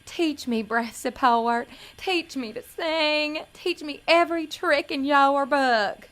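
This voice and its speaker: A woman's voice: high-pitched, takes it slowly, fairly bright tone. A woman playing an innocent, needy young pageant hopeful from the country in a comedic audio drama, earnest, naive and pleading. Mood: pleading, eager.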